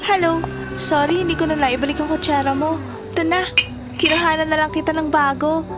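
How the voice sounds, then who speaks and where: A woman's voice: sits high, steady tone, a little fast, lightly acted. A woman playing a bubbly, teasing young café co-worker with a Filipino accent in an audio drama. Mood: chirpy, generous. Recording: music behind the voice.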